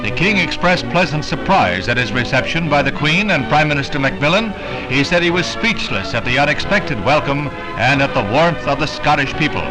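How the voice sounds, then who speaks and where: High-pitched American newsreel man over music. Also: animated, normal speed, rough recording. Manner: polished, declamatory announcer delivery. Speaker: newsreel narrator. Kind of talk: 1960s newsreel scripted narration. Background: prominent background music.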